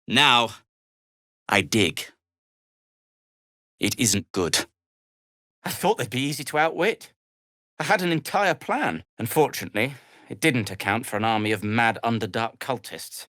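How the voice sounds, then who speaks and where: Casting: a sardonic, fussy gnome for a game; prim, exasperated, very animated pitch, close dry sound. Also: male voice, dry recording. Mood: rueful, then dry, resigned. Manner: wry, prim, exasperated, formal wording. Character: fussy, sardonic, world-weary male gnome. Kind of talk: video game character dialogue.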